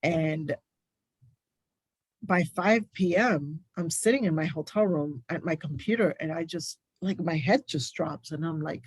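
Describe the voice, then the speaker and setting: A woman's voice: low-pitched, measured pace, husky, no room sound. A woman nurse, a guest on a podcast, telling her story in emphatic, detailed, casual talk.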